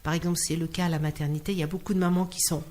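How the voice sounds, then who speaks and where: A woman's voice: lowish voice, very fast. A woman from Clermont-Ferrand in France speaking as an interview guest, telling anecdotes expressively and acting out dialogue. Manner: expressive storyteller, acts out quoted dialogue. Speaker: interview guest, singer working in hospitals. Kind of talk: animated anecdotal interview talk.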